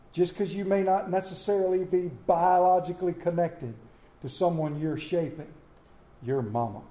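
A man preaching a Mother's Day sermon, folksy and storytelling, with dramatic slow pauses on quoted lines. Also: high-pitched, warm tone. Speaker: pastor. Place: church sanctuary. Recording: echoey church room.

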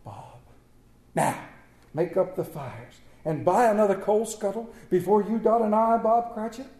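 A man's voice: high voice, big pitch swings, a little slow, warm tone, exaggerated voice. A man doing a gleeful old miser. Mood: warm. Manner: chuckling, emphatic, playfully gruff. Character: elderly male miser turned jolly, gleeful. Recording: roomy echo.

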